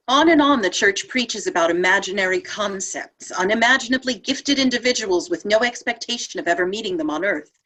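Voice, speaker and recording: A woman playing a compassionate, questioning young saint from heaven in a play table read, with a wry, expressive delivery. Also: mid-range voice, poor audio.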